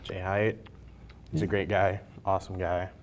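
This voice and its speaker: A man's voice: low-pitched, little pitch movement, moderate pace, a little hiss or hum. A man hosting a casual web interview, speaking informally with jokey asides. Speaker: interview host.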